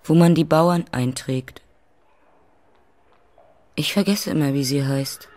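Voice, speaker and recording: A woman's voice: deep voice, slow, darkish tone. An actress playing a shy, simple widowed landlady of about thirty in a radio play. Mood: shy, plain.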